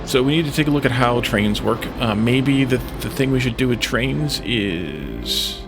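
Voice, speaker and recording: A man giving casual gameplay commentary as a let's play host, thinking aloud in a hesitant, halting way. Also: medium pitch, expressive. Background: music in the background.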